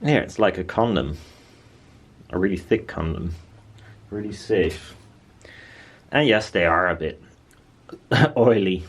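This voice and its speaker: A man's voice: low voice, animated, slow pace, long pauses. A man talking casually and bluntly as he presents his own DIY build, rambling informally. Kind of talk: casual workshop chat.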